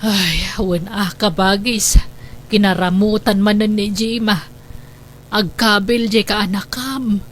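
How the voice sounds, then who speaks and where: A woman's voice: mid-range voice, steady tone, takes it slowly, a little hiss or hum, close to an everyday voice. A woman acting a long-suffering elderly mother and grandmother in a radio drama, tender, pleading and sighing. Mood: weary, pleading.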